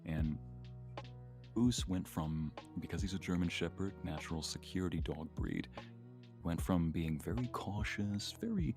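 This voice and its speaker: Deep gravelly man chatting casually. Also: expressive, unhurried, over music. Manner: chuckles often, playful storytelling. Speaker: streamer co-hosting a live chat. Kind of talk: casual livestream chat.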